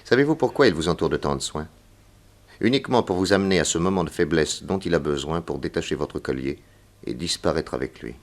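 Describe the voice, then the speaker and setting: A man playing a grave, persuasive detective in a 1960s French radio serial, formal, deliberate and insistent. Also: very deep voice. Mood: gravely persuasive. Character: grave, persuasive male detective, solemnly warning a young woman.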